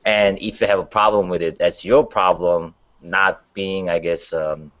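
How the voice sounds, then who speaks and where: A man chatting casually as a panelist, with halting phrasing and loose grammar. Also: low voice, fairly even intonation, a bit bright. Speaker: talk show panelist. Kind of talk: casual chat. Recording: dull lo-fi sound.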